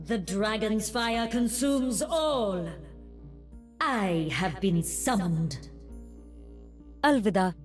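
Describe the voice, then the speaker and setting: An actress voicing an imperious dragon sorceress with an Indian accent, commanding and formal, casting wards in a game. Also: female voice, lowish voice, a little mellow.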